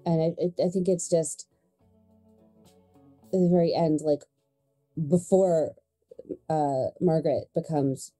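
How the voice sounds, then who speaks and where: A woman with a very mellow, dark voice, a little low, talks through her character's choices in a tabletop game. She feels her way through sentences haltingly and repeats points for emphasis. Faint music plays behind her. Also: steady tone. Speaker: tabletop roleplaying player.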